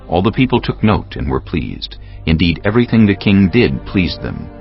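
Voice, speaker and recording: A man with an extremely deep, creaky voice narrates scripture in a measured, formal way. His intonation moves a lot. Faint music sits under the slightly dull recording. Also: very animated.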